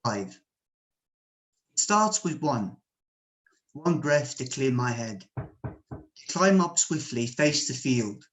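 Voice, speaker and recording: A man from Bosnia whose first language is Serbian, reading his poetry slowly and deliberately at an online open mic over a home computer microphone. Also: medium pitch, big pitch swings, fairly bright tone. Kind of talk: slow measured poetry reading.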